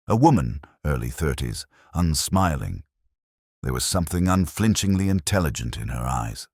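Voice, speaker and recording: A man giving a measured, reflective first-person narration of a detective story in a literary style. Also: extremely low pitch, slightly rough, no room sound, clean studio sound.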